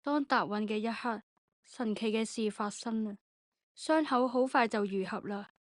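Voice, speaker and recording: A woman's voice: fairly high pitch, a little slow, no room sound, clean studio sound. A woman, reading scripted narration for an audio drama.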